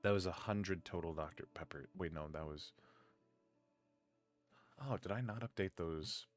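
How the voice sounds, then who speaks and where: A man hosting a live stream in casual chat, groggy from just waking up and rambling in a low-key way. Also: normal speed, soft background music. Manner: rambling and low-key, with many filler words. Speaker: streamer and podcast host.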